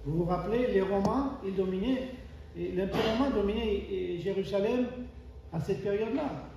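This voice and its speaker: A man's voice: sits high, normal speed, creaky, noisy background. A man from Argentina with an Argentine Spanish accent, Spanish as his first language, preaching a sermon in an emphatic way, repeating key phrases and asking rhetorical questions. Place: church hall. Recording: echoey church hall, mic far off.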